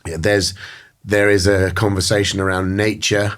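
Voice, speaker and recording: A British man being interviewed, speaking in a measured, thoughtful way with frequent fillers and restarts. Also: low-pitched, little pitch movement, fairly bright tone. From Britain. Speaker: guest. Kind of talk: reflective interview talk.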